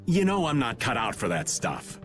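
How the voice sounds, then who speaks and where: A man's voice: mid-range voice, huge pitch swings, normal speed, fairly bright tone, soft background music. A man playing a roguish, easygoing middle-aged rascal in a video game, playful and world-wise. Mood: casual. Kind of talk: video game dub acting.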